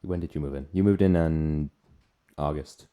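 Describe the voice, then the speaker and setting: A man in his twenties hosting a podcast in casual chat, informal and a little halting. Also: a bass voice, phone-quality sound. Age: 20s. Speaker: podcast co-host.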